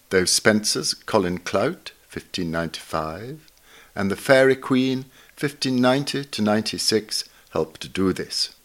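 A man with a fairly low voice narrates from a written text. His delivery is bookish and measured, setting items apart with deliberate pauses, as in a literary reading. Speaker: reader of a literary essay. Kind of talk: scripted narration, reading aloud.

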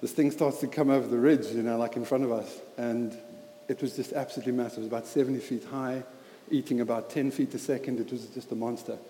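A man in his thirties with a South African accent, preaching a sermon with a personal story in a halting, earnest way with many fillers. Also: mid-range voice, steady tone, mellow. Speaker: lay preacher. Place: church hall. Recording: echoey hall with long reverb.

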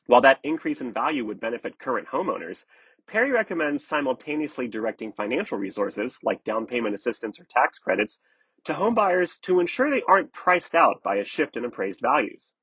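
A man narrating a scripted news article in a measured, explanatory way. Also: mid-range voice, very animated, a bit bright, breathy edge, dry recording.

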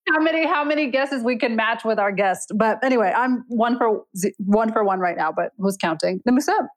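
A woman's voice: fairly high pitch, a little fast, rough recording. A woman co-hosting a podcast, talking in an upbeat, playful way and laughing between lines as she explains a game segment. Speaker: podcast co-host.

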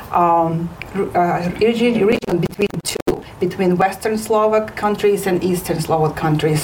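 A woman's voice: on the low side, darkish tone. A woman from Ukraine with a Ukrainian accent, a physician guest speaking hesitantly with many fillers in a studio interview. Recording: distant mic with faint noise.

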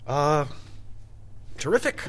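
An actor playing a breezy, blunt office boss in a satirical audio drama, with a casual and halting way of talking. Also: male voice, fairly high pitch, very animated, a bit bright, full character voice. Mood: businesslike.

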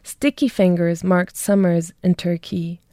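A woman's voice: fairly low pitch, fairly even intonation, measured pace, dark tone, no room sound, clean studio sound. A young woman from Germany reading her poetry and talking thoughtfully about her life, with light laughs between her words. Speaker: poet and guest. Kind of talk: poetry reading and interview answers.

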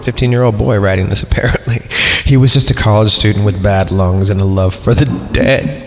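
A man's voice: fairly low pitch, steady tone, brisk. A man hosting a podcast, reading a horror story aloud in a sardonic way, with mocking asides. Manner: sardonic, reads punctuation aloud. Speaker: podcast host reading creepypasta. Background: background music.

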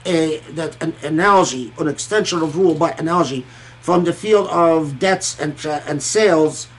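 A man from Egypt and Kuwait giving an informal scholarly commentary on scripture, thoughtful and halting, often repeating words and pausing. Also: on the high side, a bit bright, low-quality recording.

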